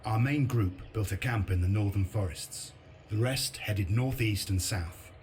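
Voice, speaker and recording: An actor playing a grim, sardonic resistance commander in a video game, with a blunt, dry, determined delivery. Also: male voice, on the low side, measured pace, darkish tone, a little hiss or hum, lightly acted. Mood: reflective. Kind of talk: video game cutscene narration and briefing.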